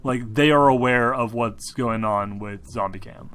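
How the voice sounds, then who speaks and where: A man running a tabletop game, talking casually and haltingly with some swearing as he improvises the narration. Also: mid-range voice, a bit bright. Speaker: game master. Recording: music behind the voice. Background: background music.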